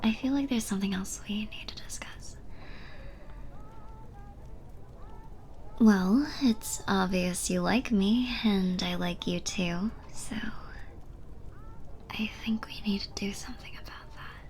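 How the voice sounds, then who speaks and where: A playful, teasing bartender voiced by a woman murmurs close and intimate in an ASMR roleplay. Her delivery is slow and breathy, often near a whisper, with creaky edges. Faint background noise sits behind her. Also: mid-range voice, darkish tone, close to an everyday voice. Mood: flirty, teasing.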